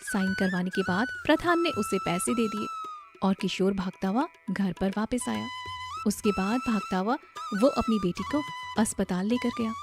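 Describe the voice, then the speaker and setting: A woman's voice: medium pitch, animated, moderate pace, music in the background. A woman narrating a scripted moral story as a storyteller.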